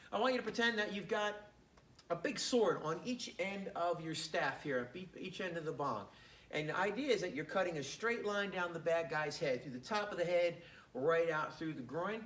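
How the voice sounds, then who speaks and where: A man teaching a martial arts class on camera, casual and joking as he demonstrates the moves. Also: a little high. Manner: relaxed, joking, talks while demonstrating. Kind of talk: casual instructional demo. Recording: echoey room, faint background noise.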